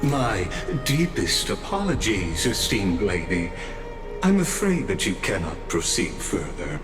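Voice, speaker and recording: Game villain: low, lilting, courtly and calculating, very deliberate, music behind. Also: male voice, big pitch swings. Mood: smooth, ominous. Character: mysterious, unctuously polite, calculating gentleman villain. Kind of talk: video game voice acting. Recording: loud music under the voice.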